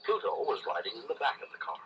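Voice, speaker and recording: A man's voice: medium pitch, huge pitch swings, rapid-fire, dull audio, noisy background. A man reading a scripted children's read-along story as narrator, on a vintage cassette recording. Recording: vintage cassette with loud music behind. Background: music and story sound effects.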